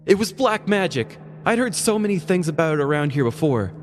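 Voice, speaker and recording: Man playing young gamer bro, high strained pitch, earnest, emphatic, excitable, comic storytelling, music behind. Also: brisk, big-room sound. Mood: excited, insistent.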